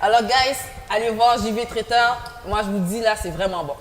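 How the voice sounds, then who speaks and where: A woman's voice: a little high, normal speed. A woman hosting a talk show, upbeat and casual, with lots of exclamations as she introduces guests. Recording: long reverb with faint background noise.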